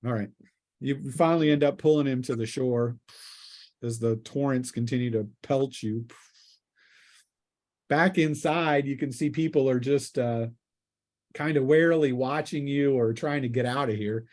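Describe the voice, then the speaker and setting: A man's voice: medium pitch, normal speed, pauses often, a little mellow. Casual man running a tabletop game. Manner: casual, conversational, descriptive. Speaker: game master. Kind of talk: improvised narration of a tabletop role-playing game.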